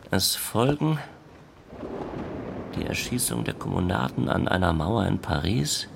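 A man narrates a literary text in a low voice with a gravelly edge. His delivery is measured and formal, setting things out factually like an official report. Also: noisy background.

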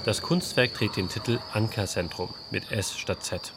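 Low-voiced man narrating a radio feature. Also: normal speed, noisy background. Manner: reflective, poses rhetorical questions. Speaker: radio culture reporter.

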